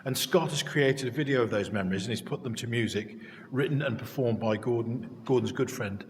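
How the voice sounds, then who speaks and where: A man's voice: medium pitch, brisk, a little hiss or hum. A man, a funeral officiant making a formal introduction to the congregation. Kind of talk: formal spoken introduction at a service. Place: crematorium chapel during a funeral service. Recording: echoey hall reverb.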